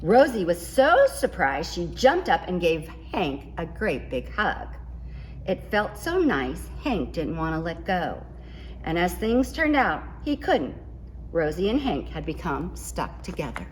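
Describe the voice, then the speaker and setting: A woman reading a picture book aloud to children in an expressive storytelling style. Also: fairly low pitch, measured pace, slight background noise, low-quality recording. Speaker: picture book reader at a school.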